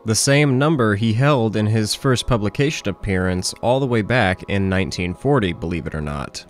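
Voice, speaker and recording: A man's voice: fairly low pitch, expressive, moderate pace, faint music behind. A man hosting a horror review, reading a scripted video essay as narration. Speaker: host, horror series reviewer.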